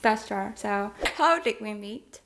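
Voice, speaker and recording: A woman's voice: medium pitch, expressive, slow pace, a bit bright, slight background noise. The giggly, hesitant thirty-year-old Taiwanese woman you would hear on a lifestyle channel, a native Mandarin speaker casually telling viewers about her life. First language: Mandarin Chinese. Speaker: vlog host. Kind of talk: casual relationship chat.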